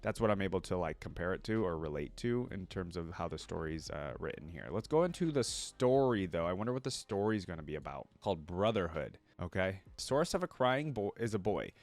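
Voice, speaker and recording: A man's voice: low voice, very animated, a little fast, few pauses. A man hosting a reaction video, reading story text aloud and adding casual, exclamatory commentary. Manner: informal, exclamatory asides.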